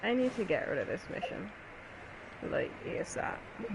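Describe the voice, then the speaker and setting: A woman's voice: medium pitch, big pitch swings, normal speed. A woman chatting casually with friends as she plays a game, playful and slangy, often thinking aloud. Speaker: gaming vlogger. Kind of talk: casual gaming chat with friends. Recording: clear background noise.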